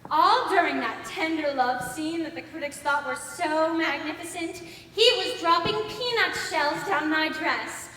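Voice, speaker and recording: A woman's voice: high voice, unhurried, few pauses, bright, forceful tone. A woman playing a vain, gushing socialite actress in a stage comedy, with grand, affected delivery and lavish endearments. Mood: affected, breezy. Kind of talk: stage comedy acting, projected and theatrical. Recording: echoey hall from far off, faint noise.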